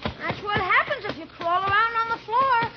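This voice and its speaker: A woman's voice: very high voice, normal speed. A woman playing a wily, wheedling schoolboy nephew in an old American radio comedy. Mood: whiny, protesting. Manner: whiny, wheedling. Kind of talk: 1940s-50s radio comedy acting. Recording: muffled, noisy old radio recording.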